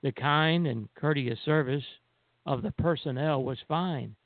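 A man with a creaky, gravelly voice takes his time over each phrase. He co-hosts a call-in radio show, and he comes through on a narrow, phone-like line. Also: fairly high pitch, measured pace. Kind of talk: poem recitation, then casual show talk. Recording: band-limited phone line with echo.

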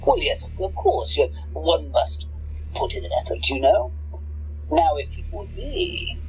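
A man's voice: a little high, huge pitch swings, measured pace, dull audio, a little hiss or hum. A man playing a smug, scheming radio-play villain who gloats theatrically and plots revenge. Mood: ingratiating.

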